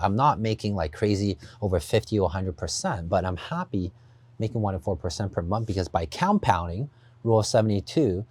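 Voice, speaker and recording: A man's voice: deep voice, no room sound. A man who grew up in Taiwan, a podcast guest earnestly explaining his investing approach, often adding "you know" and "right?". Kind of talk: podcast interview.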